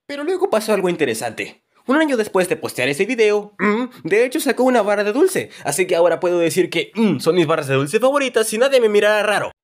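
Male narrator for a cartoon story dub: high voice, very lively intonation, comic exaggeration. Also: fast talker, hardly any pauses. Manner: expressive, exaggerated comic delivery. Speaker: narrator of a fan-dubbed animated story video. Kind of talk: animated comedic storytelling narration.

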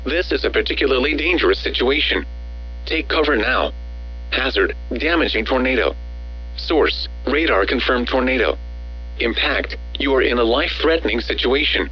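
A man's synthetic-sounding voice reading scripted emergency weather bulletins as a radio announcer, in a measured, formal, automated delivery. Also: mid-range voice, lively intonation, sharp tone, breathy edge, faint music behind, rough recording.

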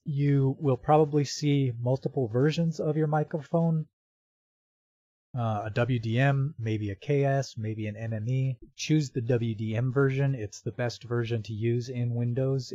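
A man narrating a step-by-step software tutorial in an informal, explanatory way. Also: medium pitch, steady tone, normal speed, no echo, dull audio.

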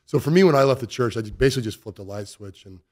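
A man's voice: mid-range voice, racing. A man in his forties with an American accent, talking bluntly and emphatically as an interview guest, close on a studio mic. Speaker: podcast guest, business owner. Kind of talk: conversational interview. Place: indoor podcast studio. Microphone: close studio mic on boom arm.

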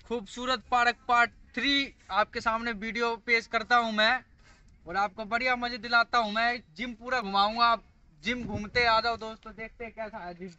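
Bright-voiced young man vlogging outdoors. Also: extremely high pitch, flat delivery, moderate pace, lo-fi sound, slight background noise. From India. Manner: chatty, playful, addresses viewers as friends. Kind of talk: casual vlog chat. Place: outdoor park with gym equipment. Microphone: handheld phone camera, moving around.

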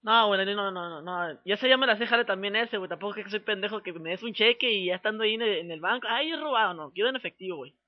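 Through very muffled phone audio comes a man with a northern Mexican accent and a notably high voice. He talks fast, in thick street slang. His manner is pushy and threatening, and he circles back on his phrases as a prank caller. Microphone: telephone call.

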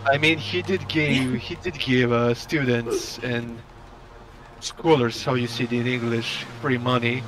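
A man's voice: medium pitch, steady tone, measured pace. A young man from Serbia with a Serbian accent, a caller speaking hesitant English while searching for words. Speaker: caller in a live stream. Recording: noisy voice-chat line.

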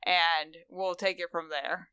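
A woman's voice: lowish voice, flat delivery, takes it slowly, bright, forceful tone, clean tone, no room sound. Brief: female podcast co-host, gushing and upbeat, dry close mic. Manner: enthusiastic, gushing. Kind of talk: casual chat.

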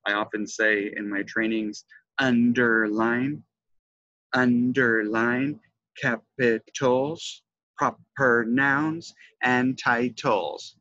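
A middle-aged man presenting an instructional lesson, stressing key words with deliberate, emphatic enunciation into a headset. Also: mid-range voice, fairly even intonation, sharp tone, dry recording, dull audio. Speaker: teacher trainer presenting a lesson. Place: indoors, webcam presentation.

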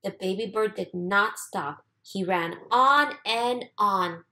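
A woman reading a children's storybook aloud expressively, with deliberate, sing-song emphasis. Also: mid-range voice, pushed and bright. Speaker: storybook reader.

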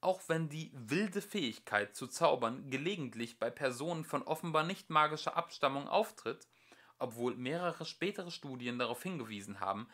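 A man's voice: medium pitch, fairly bright tone. A young adult man reading a book of fairy-tale commentary aloud as an amateur audiobook narrator.

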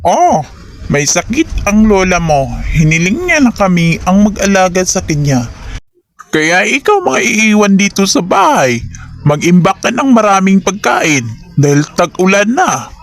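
A man's voice: sits high, very animated, unhurried, full character voice. A man voicing male insect characters in a cartoon fable, giving advice and instructions. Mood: instructing. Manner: advising, directive. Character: male storybook insects, grasshopper's friend and stern father. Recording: music behind the voice.

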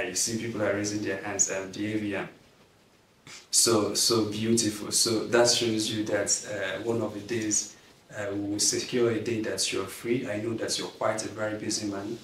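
A man in his thirties leading an online governance class, speaking earnestly and stretching words out for emphasis. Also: fairly low pitch. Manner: earnest, drawn-out emphasis, gracious thanks. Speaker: class instructor. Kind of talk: online class leadership teaching. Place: plain indoor studio backdrop. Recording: echoey, somewhat distant mic, faint noise.

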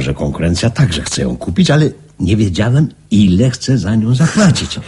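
An actor playing an eager, excitable wealthy gentleman in a radio drama, speaking effusively and haltingly in a husky voice. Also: medium pitch, full character voice.

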